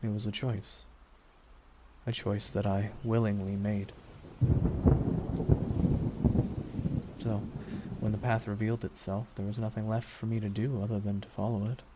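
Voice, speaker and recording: A dark, wistful villain voiced by a man speaks in a soft, mellow, lowish tone, as if close by in an intimate ASMR roleplay. He is deliberate and brooding, leaving long pauses, with quiet menace under the words. Rain patters behind him. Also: little pitch movement, natural voice. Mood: resolute, dark. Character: villain reflecting beside his dying superhero nemesis, wistful, menacing. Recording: noticeable rain noise throughout. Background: rain falling on a surface.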